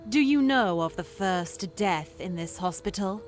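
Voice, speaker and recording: A woman voicing an eerie, cryptic, detached young woman in a horror video game, speaking in a deadpan, deliberate way. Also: mid-range voice, over music, close to an everyday voice. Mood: ominous, detached.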